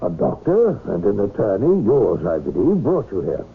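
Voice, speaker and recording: A sinister sanitarium doctor in an old radio drama. He is unruffled and patronising, with a gravelly voice that rolls up and down in animated, reassuring phrases. The sound is thin and phone-like. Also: lowish voice, moderate pace, heavily acted. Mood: smugly genial. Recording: noisy, tinny radio drama recording.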